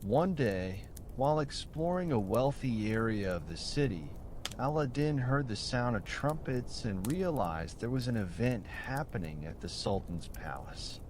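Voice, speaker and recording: A man reads a bedtime story at a slow, deliberate pace. His medium-pitched voice swings expressively through soothing, carefully phrased narration, with a faint low rumble behind him. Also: sing-song, darkish tone.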